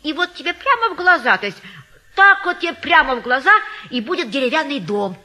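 A shrill, huffy old matchmaker. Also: female voice, sing-song, a little fast, pushed and bright, exaggerated voice. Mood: chatty. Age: older. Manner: folksy, sing-song, chattering. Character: crafty old village-style matchmaker woman, garrulous and huffy. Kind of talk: classic stage comedy audio play acting.

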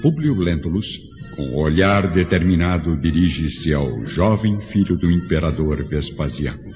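A man's voice: sits low, animated, unhurried, a little mellow. A man reading scripted narration for a radio drama in a solemn, literary manner. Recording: muffled recording with faint music.

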